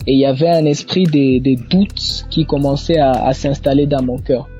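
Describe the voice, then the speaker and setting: A young man with an eastern Congolese French accent gives a personal religious testimony, haltingly, repeating words as he goes. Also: medium pitch, steady tone, warm tone. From eastern Democratic Republic of the Congo. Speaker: church member giving testimony. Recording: muffled lo-fi audio under faint music. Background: faint music.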